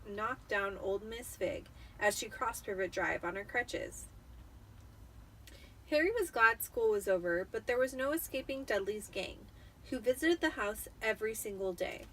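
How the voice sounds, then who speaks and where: A tipsy woman reading a novel aloud in a theatrical, expressive storytelling style. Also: mid-range voice, distant mic, slight background noise, low-quality recording. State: tipsy from wine. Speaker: amateur storybook reader.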